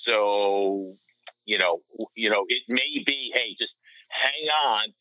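A man co-hosting a sports podcast, discussing things casually in a measured, conversational manner. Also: lowish voice, dry recording. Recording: slightly dull lo-fi audio.

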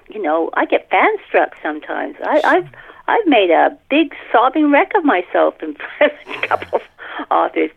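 An older woman, a guest author chatting casually in a podcast interview, animated and self-deprecating, repeating words for emphasis. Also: high voice, vocal fry. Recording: thin band-limited phone line.

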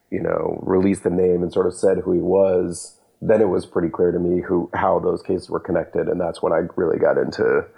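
A man with a very low, gravelly voice answers interview questions in a measured, explanatory way. His tone is dark and a little husky. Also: poor audio. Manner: measured, explanatory, hesitant fillers. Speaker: journalist guest.

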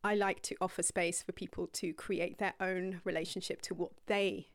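A young woman yoga teacher telling her own story to viewers in a rambling, candid, casual way, dropping to breathy whispered asides now and then. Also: fairly low pitch, little pitch movement, normal speed, no echo, clean studio sound.

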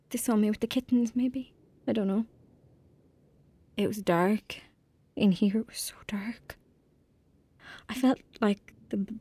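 An actress playing a frightened, guilt-ridden young girl in an audio drama, with an Irish accent and a halting, intense delivery that dips toward whispers. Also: mid-range voice, darkish tone, no echo.

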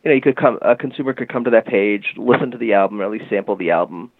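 Gravelly low man on a phone line. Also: quick pace, no echo. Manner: explanatory, many fillers like "you know" and "um". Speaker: guest from a music marketing company. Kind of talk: casual interview talk. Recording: tinny phone-line call.

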